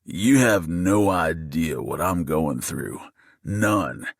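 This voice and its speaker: A man voicing a menacing, desperate gunman in an audiobook, gruff and angry. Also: lively intonation, slow, dry recording, clean studio sound, heavily acted. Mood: bitter, gruff. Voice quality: low, gruff. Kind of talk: audiobook character acting.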